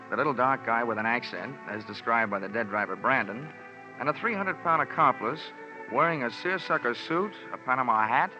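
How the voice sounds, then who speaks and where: An actor playing a wisecracking, hard-boiled insurance investigator in a clipped, wry old-time radio style. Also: male voice, medium pitch, close to an everyday voice. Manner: wry, clipped, slangy delivery. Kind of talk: old-time radio detective acting with first-person narration. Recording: dull old radio recording, music behind.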